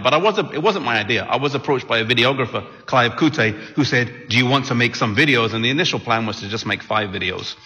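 A man from England whose accent has picked up some American tones, speaking as a guest about his ministry's video work in a soft-spoken, thoughtful way. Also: medium pitch. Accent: English with some American mixed in. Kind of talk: casual on-stage interview. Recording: long hall reverb.

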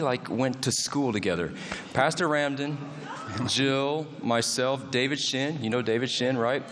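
A man's voice: mid-range voice, a bit bright. A man hosting an onstage interview at a church camp meeting, enthusiastic and folksy, with many fillers. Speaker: host. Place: large hall before a live audience. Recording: long hall reverb.

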